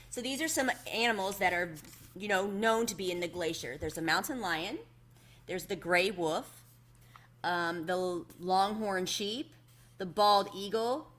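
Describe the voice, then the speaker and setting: A woman's voice: mid-range voice, fairly bright tone. Woman reading a children's book aloud. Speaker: read-aloud host.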